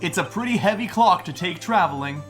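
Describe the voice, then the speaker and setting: A man's voice: sits high, expressive, brisk, a bit bright, soft background music. A man voicing an earnest, awkward young male lawyer in a fan-dubbed game, hesitant and a little wry. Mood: persuading. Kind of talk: fan-dub video game dialogue and inner narration.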